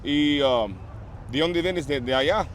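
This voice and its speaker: Man, street interviewer, slangy and halting, somewhat high voice, faint traffic behind. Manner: informal, halting, slangy, mixes English in. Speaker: interviewer asking questions in Spanish. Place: outdoors at a store parking lot. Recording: outdoor street noise, traffic.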